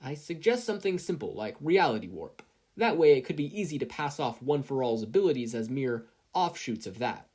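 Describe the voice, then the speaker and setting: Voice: mid-range voice, sing-song, brisk, darkish tone, husky, pitched above the performer's own voice. A performer voicing a hearty, fatherly older male superhero mentor in an audiobook style, proud and declamatory. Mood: proud, encouraging. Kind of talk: audiobook-style character acting.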